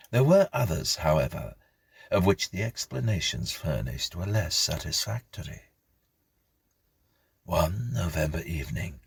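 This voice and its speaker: A man reading a scripted ghost story as narrator, in a measured, expressive storytelling manner. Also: extremely low pitch, vocal fry, breathy edge, dry recording. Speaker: audiobook narrator.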